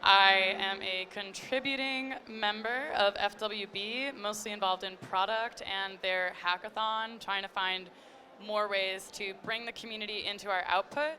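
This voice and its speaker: Bright-voiced woman panelist, faint background noise. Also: medium pitch, fairly even intonation, unhurried. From grew up in Egypt. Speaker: panel speaker. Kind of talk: informal self-introduction to an audience. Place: live stage event. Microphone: stage microphone. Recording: faint background noise, live event sound.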